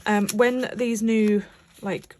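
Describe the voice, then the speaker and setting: A woman's voice: mid-range voice, steady tone, slow, a little mellow, slight background noise. A young British woman, bunged up from a cold, chatting casually about her budget as she stuffs cash envelopes. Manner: chatty, rambling, many fillers and restarts. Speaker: budgeting vlogger. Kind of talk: casual chat to camera while cash stuffing.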